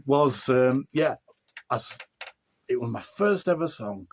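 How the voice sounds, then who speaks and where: A man's voice: medium pitch, expressive, slow, a little hiss or hum. A middle-aged man with a broad Yorkshire accent, an author chatting casually in an interview, rambling with fillers and restarts.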